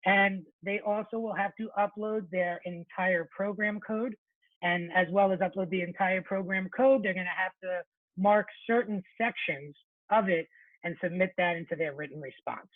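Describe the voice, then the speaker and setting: A man presenting an informal webinar for teachers, chatty and relaxed, often correcting himself mid-sentence. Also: sits high, moderate pace, no room sound. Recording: thin phone-like webinar audio, dry.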